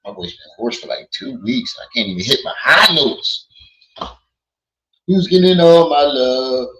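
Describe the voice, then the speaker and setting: A hoarse man hosting a casual livestream, blunt and quick to laugh while greeting his chat. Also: mid-range voice, big pitch swings, fairly bright tone, poor audio. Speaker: livestream host.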